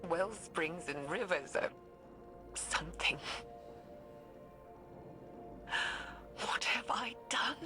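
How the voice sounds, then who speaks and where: Troubled female scientist, low slow voice, hesitant breathy log dictation, video game drama, prominent music behind. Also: lively intonation. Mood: grief-stricken. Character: anguished woman scientist dictating research logs, guilt-ridden.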